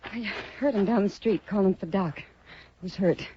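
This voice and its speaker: Casting: actress as an urgent worried saloon woman, warm voice, dry dull radio sound. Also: mid-range voice, moderate pace, slight background noise, natural voice. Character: concerned frontier saloon woman. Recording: dry muffled 1950s radio broadcast.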